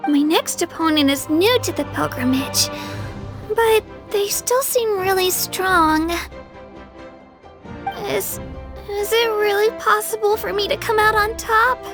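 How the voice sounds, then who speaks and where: A woman's voice: very high voice, slow, music in the background, exaggerated voice. A woman voicing an earnest, nervous young girl contestant in a game, with a light youthful voice and hesitant manner. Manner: hesitant, self-encouraging. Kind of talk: animated game cutscene dubbing.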